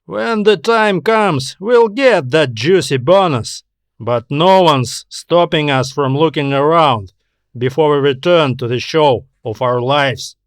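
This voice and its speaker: A man's voice: on the high side, expressive, slow, dry recording, studio quality. A man voicing a brash, irritable male space explorer with a dismissive, slangy, emphatic delivery in an audio story. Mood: eager.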